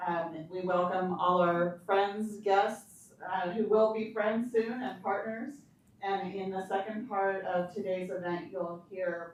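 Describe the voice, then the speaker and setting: A woman official giving welcome remarks and introducing the mayor, in a hesitant way with fillers. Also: on the low side. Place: event room. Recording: very echoey room, voice from far off.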